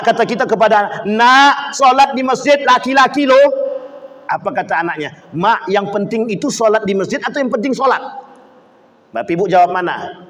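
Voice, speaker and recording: A high-pitched male preacher delivers a lively sermon. He acts out the voices of the people he quotes and turns to his congregation with questions. His voice carries a long reverb from a large hall.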